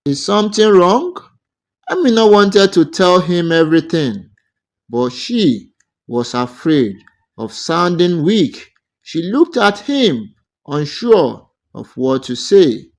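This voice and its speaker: A man narrating a scripted romantic folktale as a storyteller, in deliberate, measured short phrases. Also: on the high side, sing-song.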